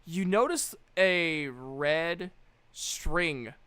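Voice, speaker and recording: A man's voice: fairly high pitch, extremely slow, sharp tone, clean tone, dry recording. A man narrating a tabletop game as game master, chatting casually with a playful, sweary manner.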